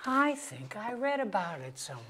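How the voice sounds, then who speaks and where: A woman's voice: mid-range voice, big pitch swings, fairly quick, a crowd in the background, close to an everyday voice. A woman reading the part of a wry, self-deprecating sixty-year-old husband in a staged comedy. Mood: dry, teasing. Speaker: actor in a staged play reading. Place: museum auditorium stage.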